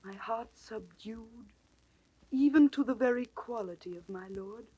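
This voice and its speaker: An actress playing a devoted, resolute young noblewoman and wife, pleading earnestly in deliberate classical stage declamation. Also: fairly high pitch, slightly rough, rough recording. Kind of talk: classical stage acting in audio drama.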